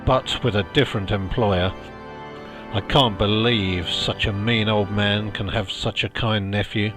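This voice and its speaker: A man's voice: lowish voice, steady tone, unhurried, lightly acted. A man playing a blunt, resentful poor mother in a storybook reading, speaking frankly. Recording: music behind the voice.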